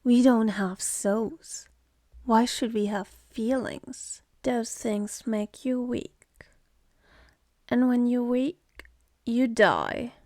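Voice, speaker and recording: A woman's voice: fairly high pitch, gravelly, darkish tone, no room sound. A woman voicing a sardonic, seductive demon in an ASMR roleplay, mocking and contemptuous, speaking close to the mic.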